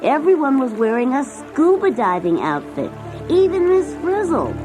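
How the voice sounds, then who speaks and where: Lively, higher-voiced woman storyteller. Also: normal speed. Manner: expressive storytelling. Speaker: story narrator. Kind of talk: scripted children's story narration. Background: music.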